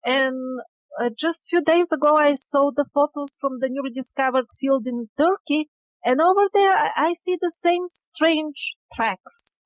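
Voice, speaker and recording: A woman's voice: high-pitched, measured pace, no room sound, rough recording. A woman interview guest explaining her field findings conversationally, with emphatic but hesitant phrasing. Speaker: interview guest, independent researcher.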